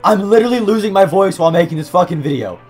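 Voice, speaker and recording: A young man playing a video game on webcam, swearing and shouting in frustration, his voice growing hoarse. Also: sits high, animated, racing, soft background music. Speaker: gaming YouTuber. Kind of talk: webcam gameplay commentary. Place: small room at a desk. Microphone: desk mic beside keyboard, wearing headset.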